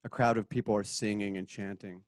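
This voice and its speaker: Low-voiced man reading stage directions. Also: flat delivery, a little fast, a little mellow, no echo, clean studio sound. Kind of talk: radio drama script reading.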